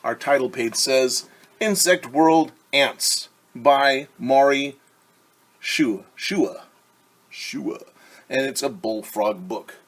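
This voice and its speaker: A man teaching young children, reading a picture book aloud and gently asking them questions. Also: mid-range voice, slow pace. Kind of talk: children's story time read-aloud.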